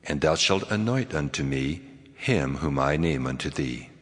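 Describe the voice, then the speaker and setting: Very deep, echoing voice of God. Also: male voice, lively intonation, normal speed. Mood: solemn, commanding. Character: the Lord God, solemn, commanding male deity. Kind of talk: dramatized scripture reading. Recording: long reverberant hall, music behind.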